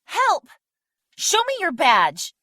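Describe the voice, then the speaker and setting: A woman's voice: extremely high pitch, huge pitch swings, takes it slowly, sharp tone, dry recording, studio quality, full character voice. A woman playing a defiant, sarcastic and frightened young woman in an audio drama, indignant and skeptical in her delivery.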